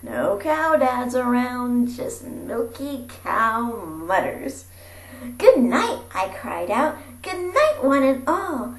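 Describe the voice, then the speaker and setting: Female storybook reader, theatrical and highly expressive, unhurried pace, roomy amateur recording. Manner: theatrical, dropping into breathy whispers and calling out. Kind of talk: animated rhyming picture-book read-aloud. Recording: roomy echo with faint background noise.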